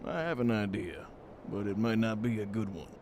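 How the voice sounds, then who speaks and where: A man playing a calm, matter-of-fact medic in an audio drama, with measured, formal phrasing. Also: on the low side, a little hiss or hum.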